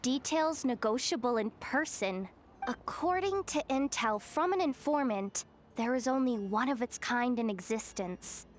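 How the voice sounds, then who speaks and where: A woman voicing a sharp-tongued, sardonic young woman guide in a video game, speaking in a dry, commanding way. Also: fairly high pitch, expressive, measured pace, slight background noise. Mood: businesslike.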